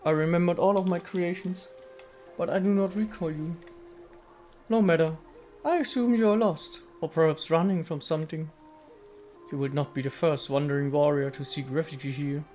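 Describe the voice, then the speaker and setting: Male reader as ancient statue goddess: serene, aloof, formal and poetic game dialogue, raised pitch, music underneath. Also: a little mellow, close to an everyday voice. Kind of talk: dramatic reading of video game dialogue.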